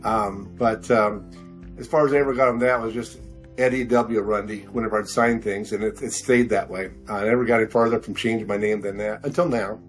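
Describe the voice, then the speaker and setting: A man in his sixties talking casually as an interview guest, halting and rambling, often repeating words as he goes. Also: lowish voice, steady tone, soft background music. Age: 60s. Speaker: podcast guest, science fiction author.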